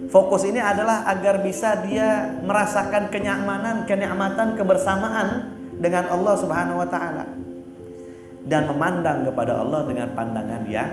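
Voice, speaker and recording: A high-voiced man gives a blunt, teasing sermon. He talks casually and keeps stopping to search for his words. The room echoes, and music plays clearly in the background. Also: fairly even intonation, normal speed. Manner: casual and blunt, teasing, fillers like "apa namanya". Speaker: preacher. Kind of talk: religious sermon.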